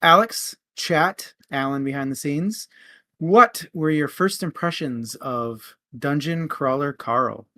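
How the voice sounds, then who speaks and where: A man's voice: fairly high pitch, slow, bright, forceful tone. A man hosting a casual book discussion in a conversational, explanatory manner. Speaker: podcast host.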